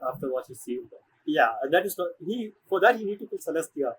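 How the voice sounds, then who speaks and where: Animated high-pitched man commenting on a game. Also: fast, slightly rough, low-quality recording. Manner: excitable, rambling, swearing. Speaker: game streamer. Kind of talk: casual gameplay commentary.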